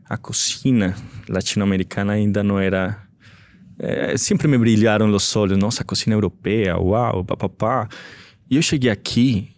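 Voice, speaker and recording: A man's voice: lowish voice, no room sound. A young adult man from Colombia with a Colombian Spanish accent, telling stories as a chef in an interview, slipping in Spanish words and laughing often. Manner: relaxed storytelling, mixes in Spanish words. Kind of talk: interview answers.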